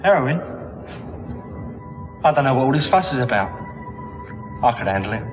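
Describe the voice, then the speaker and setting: A man's voice: medium pitch, very animated, moderate pace, soft background music, lightly acted. A man playing a young heroin user in denial in a TV advert monologue. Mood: defensive, cocky. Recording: dull vintage TV soundtrack.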